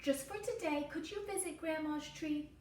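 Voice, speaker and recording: A woman voicing a patient, reassuring mother in a storybook, gentle and soothing. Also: high voice, normal speed, lightly acted. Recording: roomy, distant mic, faint noise.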